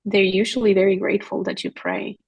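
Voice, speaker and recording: Husky, gravelly-voiced woman telling stories. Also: mid-range voice, steady tone, fast, darkish tone. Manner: earnest, storytelling, quotes others in dialogue. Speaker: guest missionary. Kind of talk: podcast conversation.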